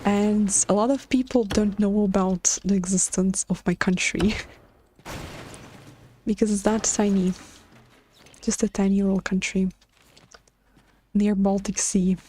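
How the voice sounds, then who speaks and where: A woman's voice: mid-range voice, steady tone, a little slow, long pauses, warm tone, slight background noise. A woman from Latvia with a Latvian accent, chatting casually on a live stream while she builds in a game, hesitant and full of filler sounds and restarts.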